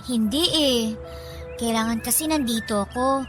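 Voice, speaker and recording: A woman playing an anxious, gentle young mother in a Tagalog radio drama, speaking in a soothing, coaxing, emotional way. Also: a little high, moderate pace, over music, natural voice. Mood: coaxing, worried.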